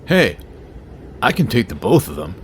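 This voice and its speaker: An actor playing a brash warrior henchman in a fantasy audio drama, boastful and rough in manner. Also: mid-range voice, very animated, moderate pace, a little hiss or hum, close to an everyday voice. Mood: boastful, indignant. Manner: boastful, rough, mock-offended.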